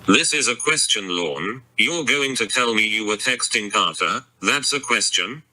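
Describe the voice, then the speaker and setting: A man's voice: mid-range voice, measured pace, exaggerated voice, poor audio. A text-to-speech male voice playing a caller who mocks and insults the man on the line. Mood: taunting. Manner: flat insults, mocking. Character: insulting male robotic phone voice. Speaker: sting caller persona on a phone call.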